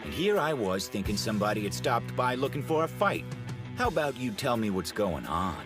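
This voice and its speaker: A man's voice: mid-range voice, brisk, close to an everyday voice. A man voicing a cocky teenage delinquent leader in an English anime dub, smug and mocking. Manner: smug, mocking, calm menace. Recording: dub with loud music score.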